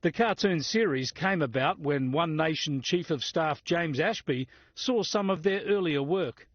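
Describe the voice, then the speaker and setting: A man's voice: a little high, unhurried, dull audio. An Australian man narrating a scripted television feature as a reporter.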